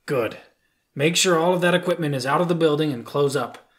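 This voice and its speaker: A man's voice: fairly high pitch, a little fast, lightly acted. A man playing an earnest, authoritative police commissioner in an audio drama, firm and commanding. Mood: concerned.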